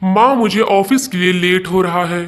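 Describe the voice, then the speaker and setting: A man acts a young husband in a cartoon family drama. His voice is quite high for a man. He sounds weary and exasperated, caught between the women of the house. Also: moderate pace, close to an everyday voice. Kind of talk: Hindi cartoon moral-story dialogue.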